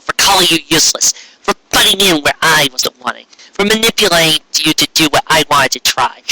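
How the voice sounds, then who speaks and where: A man acts out a proud young unicorn mare from a storybook, stubborn and dramatic. The voice is raised and very bright, with a pleading edge. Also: a little high, full character voice, rough recording.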